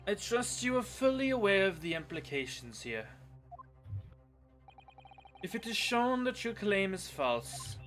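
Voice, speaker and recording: A man voicing a stern, measured courtroom lawyer in a video game, grave and deliberate. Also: sits high, sing-song, a bit bright, soft background music, voice pushed up, heavily acted. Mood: stern warning. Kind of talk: fan dub of a video game.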